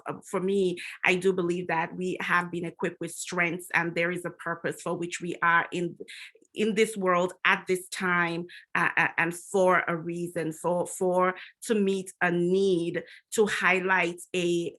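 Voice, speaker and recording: A woman's voice: fairly low pitch, pushed and bright. A middle-aged woman from Nigeria, a physician speaking on a conference panel, talking reflectively with frequent restarts and fillers.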